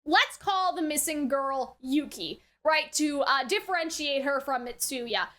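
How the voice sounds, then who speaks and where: A woman's voice: high-pitched, lively intonation, measured pace, fairly bright tone. A woman hosting a reaction video, thinking through her theories aloud in a casual, rambling way and often checking that the listener follows. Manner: rambling, theorising aloud, frequently checks with "right?" and "you know". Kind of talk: casual unscripted anime review commentary.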